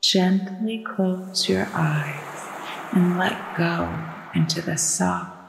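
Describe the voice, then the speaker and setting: A woman narrating a guided sleep meditation in a soothing, hushed and deliberate manner. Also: on the low side, steady tone, creaky. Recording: echoey long reverb with music behind. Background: relaxation music with ocean waves.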